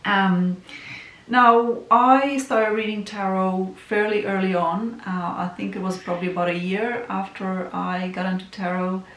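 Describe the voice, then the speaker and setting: A woman from Romania with a Romanian accent, a tarot reader introducing herself in a casual, soft, musing way with lots of ums. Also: medium pitch, steady tone, measured pace. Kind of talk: casual self-introduction vlog. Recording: echoey room with faint hiss.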